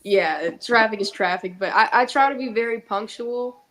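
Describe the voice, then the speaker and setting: A woman's voice: a little high, normal speed, fairly bright tone, rough recording. A young woman with a congested, stuffy nose, chatting casually and jokingly as a guest on a talk show. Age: young adult. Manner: chatty and joking, with many fillers. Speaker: guest, actress. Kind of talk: casual chat.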